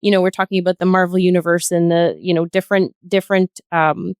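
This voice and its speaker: A woman's voice: on the low side, flat delivery, quick pace, darkish tone, smooth, no room sound. Woman guest in thoughtful discussion, dry sound. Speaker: podcast guest.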